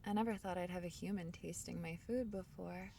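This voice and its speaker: A woman playing a small, shy, kind forest fairy in an intimate ASMR fantasy roleplay, speaking softly and hesitantly. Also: lowish voice, steady tone, a little fast, gravelly, far from the mic, a little hiss or hum. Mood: friendly, bashful. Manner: soft-spoken, gentle, hesitant, breaking into whispers.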